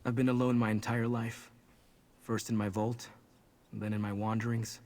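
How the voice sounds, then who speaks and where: An actor playing a somber, protective young outcast in a fantasy video game, speaking earnestly and in a measured way. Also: male voice, mid-range voice, level tone, darkish tone, lightly acted. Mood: remorseful resolve.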